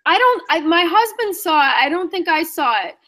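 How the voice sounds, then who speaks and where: A woman's voice: extremely high pitch, very animated, normal speed, bright, forceful tone. A married woman joining a casual group call, chatting in a friendly way. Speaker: panel participant.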